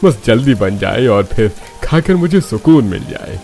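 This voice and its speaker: A man's voice: medium pitch, very animated, measured pace, vocal fry, a little mellow, soft background music. A man playing a playful, food-loving old village grandfather in a cartoon. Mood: eager, delighted.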